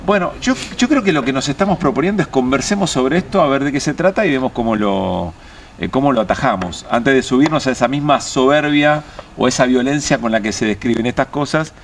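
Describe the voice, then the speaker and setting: A man with an Argentine accent hosting a late-night political panel, talking haltingly with many fillers and restarts. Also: medium pitch, a little hiss or hum. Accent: Argentine Spanish. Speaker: host.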